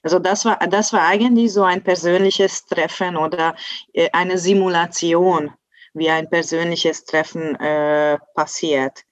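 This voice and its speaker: Guest on a video call: a woman, thirties, first language Hungarian, slow and hesitant, low-ish voice, dry lo-fi sound. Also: little pitch movement, dry recording. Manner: halting, searching for words, many restarts. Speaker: translator, guest. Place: indoors at home in front of a blue backdrop. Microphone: earphone headset on a video call. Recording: dull lo-fi video call audio.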